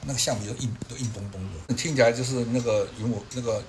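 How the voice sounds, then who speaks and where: A man in his sixties with a Taiwanese accent, explaining things casually as a collector, with animated repetition. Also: mid-range voice, fast, noisy background. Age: 60s. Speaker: record collector and restorer. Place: cluttered room in a house. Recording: music playing behind the speech. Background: music from an old gramophone.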